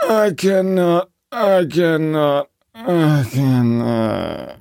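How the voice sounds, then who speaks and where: Rusty old engine, exhausted, very slow sighing delivery, read by the storyteller. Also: medium pitch, animated, creaky, a little mellow, dry recording, clean studio sound, exaggerated voice. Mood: weary. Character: rusty, weary old male steam engine.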